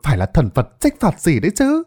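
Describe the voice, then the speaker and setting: An eager male villager, as a storyteller puts him on. He chimes in to agree in a raised voice, his pitch riding high. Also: huge pitch swings, brisk, a little mellow, slightly rough, exaggerated voice. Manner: eager, nodding-along agreement.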